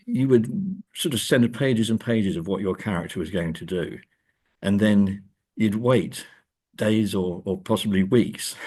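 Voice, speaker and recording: A middle-aged man speaking as an academic guest in a reflective interview, with hesitant, thoughtful, measured phrasing. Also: fairly low pitch, a little mellow, husky.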